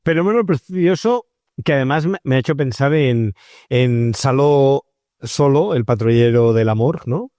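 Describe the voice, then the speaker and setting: Man, medium pitch, interviewer, conversational, discursive, frequent tag questions, no room echo. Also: lively intonation, measured pace, warm tone, clean studio sound.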